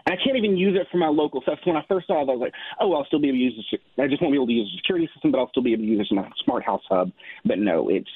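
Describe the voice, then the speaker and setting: A man calling a radio show by phone, casually explaining a problem in a halting way. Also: mid-range voice, very animated, breathy edge, dry recording. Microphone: telephone. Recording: narrow muffled phone line.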